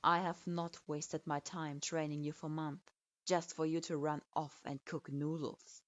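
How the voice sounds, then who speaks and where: A woman's voice: deep voice, flat delivery, unhurried, dry recording, voice pushed down. A woman voicing a harsh male ninja instructor who speaks coldly and with derision, recorded with a dull lo-fi sound. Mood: derisive. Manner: cold, derisive, stern.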